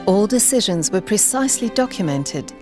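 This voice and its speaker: A woman reading scripted documentary narration in a measured, explanatory way. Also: mid-range voice, lively intonation. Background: background music.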